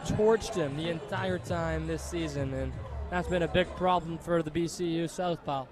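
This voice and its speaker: A man's voice: fairly high pitch, normal speed, crowd noise. A young American man giving chatty, analytical commentary on a live radio baseball broadcast. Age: young adult.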